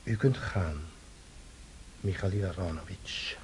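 An actor playing a stern, reproachful tsar who talks imperiously and coldly in a radio play. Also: male voice, deep voice, slow, a little hiss or hum.

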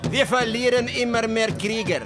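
An actor playing a proud, gruff Apache elder and teasing husband in a German radio drama. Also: male voice, very high-pitched, normal speed, over music.

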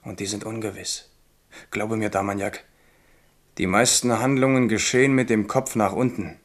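An actor playing a disillusioned captive king in a German radio drama, brooding and measured, hardening into resolve. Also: fairly low pitch, fairly even intonation, close to an everyday voice. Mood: bitter, brooding.

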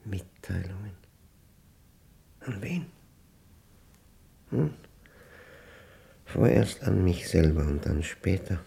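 An actor playing a lonely, orderly male mathematics professor in a German radio play monologue, speaking standard German in a reflective, pedantic, halting and self-questioning manner. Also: low-pitched, animated, creaky, warm tone, heavily acted. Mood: dry, deliberate.

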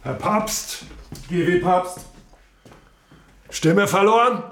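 Brash, drawling, higher-voiced intruder. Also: male voice, slow, fairly bright tone, reverberant, a little hiss or hum, full character voice. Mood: insolent. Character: brash, leering, ill-mannered male visitor. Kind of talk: radio drama acting.

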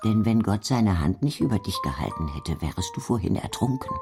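A man's voice: low voice, big pitch swings, a little fast, over music. An actor playing a devout, firm old village grandmother, speaking emphatically in an audio drama. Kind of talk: audio drama dialogue and Bible reading.

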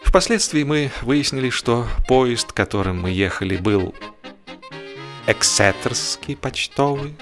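A man reading a humorous novel as a scripted audiobook, telling it in an expressive, literary way. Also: medium pitch, measured pace, over music. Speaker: audiobook narrator.

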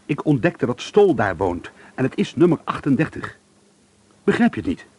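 An actor playing a curious, suspicious holidaymaker who paints, in a Dutch radio drama with a conversational, inquisitive delivery. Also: male voice, mid-range voice, sing-song, moderate pace, a little mellow, slightly rough. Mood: intrigued, suspicious.

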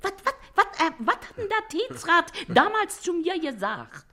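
A woman's voice: very high voice, very animated, a little slow, pushed and bright, exaggerated voice. Bossy scolding working-class mother, wildly swooping voice. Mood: boastful. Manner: nagging, blustery, colloquial. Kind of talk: German radio play acting.

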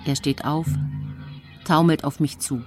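An actress playing a scheming, cold-blooded nineteenth-century woman poisoner, narrating her deeds in a radio drama with a husky, breathy, intimate delivery. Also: low voice, fairly even intonation, unhurried, over music. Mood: matter-of-fact.

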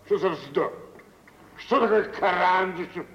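An actor in 1950s radio drama playing a dignified, shrewd and wealthy older merchant with a measured, condescending manner. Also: male voice, high voice, fairly bright tone. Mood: surprised, disdainful. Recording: muffled, noisy old radio recording, hall reverb.

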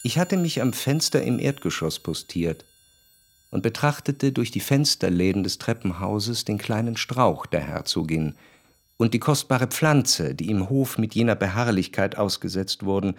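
A man playing a refined, observant first-person narrator in a radio play, reciting literary prose with measured, deliberate phrasing. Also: on the low side, lively intonation, no room sound. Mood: reflective, observant.

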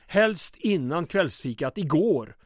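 Harried mayor played by an actor: high male voice, fussy, hesitant, apologetic, very dry close sound. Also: lively intonation, dry recording. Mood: weary, dry. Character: harried, apologetic male small-town mayor, middle-aged. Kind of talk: old-time radio drama.

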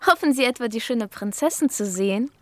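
High-voiced, breezy young boatwoman. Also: animated, moderate pace, a bit bright. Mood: teasing, frank. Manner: breezy, frank, playful. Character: lively, teasing, practical young boatwoman who loves learning. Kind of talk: radio drama acting. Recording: faint water and boat noise.

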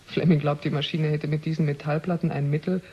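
An actor as husky, proud scientist, muffled recording. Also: medium pitch, little pitch movement, quick pace, close to an everyday voice. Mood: matter-of-fact. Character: proud, territorial scientist defending lab-made life. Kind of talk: 1970 German radio play acting. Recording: muffled old radio recording.

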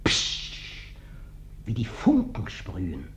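An actor playing a huge ex-wrestler turned vagrant in a radio play, ingratiating, sly and sentimental, with a wheedling, over-polite, folksy manner. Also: male voice, on the low side, wildly swooping pitch, extremely slow, slight background noise, exaggerated voice. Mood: sly, dreamy. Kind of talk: classic German radio play acting. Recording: dull, band-limited old radio recording.

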